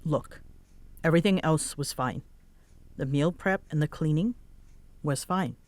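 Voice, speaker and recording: Roleplay audio drama: prickly young female roommate played by a woman, unhurried, halting, defensive delivery with a soft side, very dry recording. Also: sits low, no room sound. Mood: stern, guarded.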